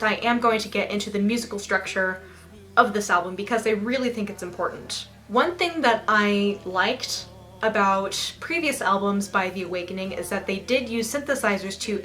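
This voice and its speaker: A young woman with a slight lisp reviewing a goth album in a casual, bubbly and dramatic way, a little sniffly and rough from allergies. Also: medium pitch. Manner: bubbly and dramatic, squeals with excitement.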